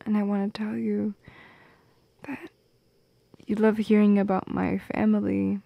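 Woman as shy teasing goth girl for ASMR roleplay. Breathy, whispery, creaky, unhurried. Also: medium pitch, flat delivery, dry recording, highs cut off. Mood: tender, nervous. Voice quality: soft and breathy, slipping into whispers. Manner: hesitant, teasing, halting with small laughs. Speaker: audio roleplay performer. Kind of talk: intimate ASMR roleplay acting. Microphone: close microphone in a dry space.

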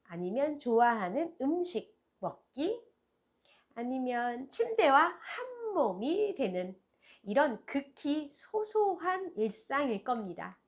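Slow, lively-voiced woman daycare director. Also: sits high. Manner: slow, gentle, sing-song delivery. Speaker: daycare center director and channel host. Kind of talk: warm advice talk to parents.

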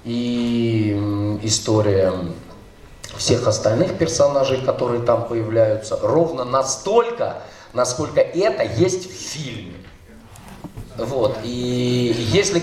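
A man's voice: mid-range voice, darkish tone, a little hiss or hum. A middle-aged man giving an informal seminar talk on a stage with a handheld mic, loose and rambling, full of fillers and restarts. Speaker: seminar speaker, dubbing director. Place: stage of a large hall. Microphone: handheld mic, filmed from the audience. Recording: echoey hall, recorded from far off.

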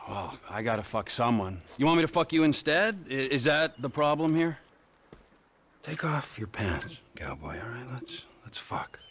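A volatile, sardonic middle-aged game villain with a North American accent. His gravelly voice is forceful and swings wildly in pitch. He taunts and menaces, over faint noise. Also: sing-song, normal speed. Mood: mocking. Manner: taunting, sardonic, erupting into shouts. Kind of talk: video game cutscene acting.

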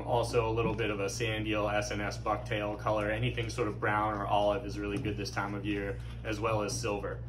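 A man talks through a fishing report from inside a tackle shop. His voice sits low and stays fairly flat, practical and unhurried. A faint hum of room noise sits underneath. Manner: steady, practical, product-focused.

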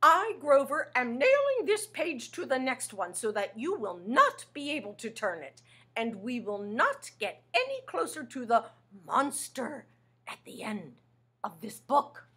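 A woman putting on a small, frightened male storybook monster whose voice leaps high and swoops wildly as he pleads and wails. He is panicky and always on the edge of tears, and the sound is a little breathy. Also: very animated, unhurried, pushed and bright, full character voice. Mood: determined. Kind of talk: storybook read-aloud.

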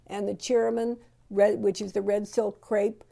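A middle-aged woman hosting an auction and giving unhurried, admiring voice-over commentary, with frequent ums and restarts. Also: mid-range voice, little pitch movement, creaky, warm tone. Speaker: auction host presenting antique dolls.

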